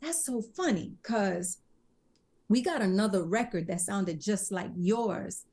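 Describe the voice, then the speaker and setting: This is a woman from the Bronx, somewhere in her fifties, talking as a guest on a podcast. Her voice sits at an ordinary middle pitch. She rambles easily, piles on fillers and slips into quoting people as she tells a story. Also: slow pace. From Bronx, New York. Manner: chatty, animated retelling with quoted dialogue. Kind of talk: casual interview storytelling.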